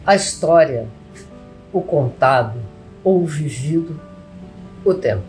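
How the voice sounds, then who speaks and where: A woman reading a poetic text aloud in a solemn, declamatory way with measured phrasing. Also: sits low, lively intonation, darkish tone. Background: music.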